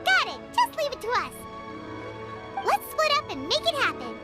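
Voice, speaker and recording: A woman voicing a small, eager, chatty childlike companion in a video game, with a squeaky voice. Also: huge pitch swings, normal speed, music in the background, exaggerated voice. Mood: excited. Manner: bubbly, informal, eager.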